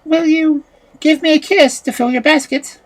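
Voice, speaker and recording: A woman voicing a kind young man, the witch's son, who speaks gently and coaxingly in a fairy tale reading. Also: high voice, steady tone, normal speed, voice pushed up, lightly acted, poor audio. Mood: gentle.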